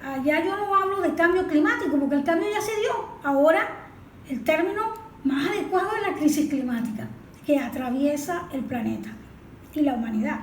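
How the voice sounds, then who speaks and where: A woman with a high voice gives didactic interview explanations. She sets up questions and answers them herself. The room echoes and carries a faint noise. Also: fairly quick. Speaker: environmental expert being interviewed.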